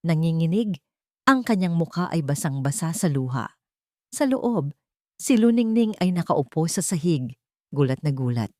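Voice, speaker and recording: Low-pitched woman narrating a drama. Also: dark tone, no echo, studio quality. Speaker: storyteller. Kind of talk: dramatic scripted story narration.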